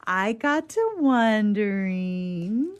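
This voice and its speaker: A woman's voice: medium pitch, lively intonation, drawn-out words, smooth. A woman acting a petulant, naive young romantic in a comedy radio play, pouting, plaintive and melodramatic. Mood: coy.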